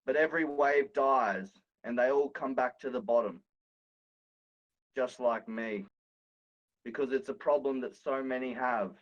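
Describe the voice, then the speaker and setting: Casting: man, medium-pitched, sweary joker for loose podcast banter and amateur poetry reading. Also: a little slow, pauses often, highs cut off. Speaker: podcast guest reading own poem.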